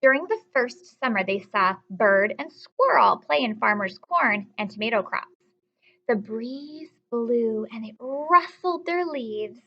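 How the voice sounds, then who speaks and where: A woman with a high, very expressive voice teaches young children by reading aloud. She explains things simply and checks in with questions, stretching key words like a storyteller. The recording is a little dull and lo-fi. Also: unhurried.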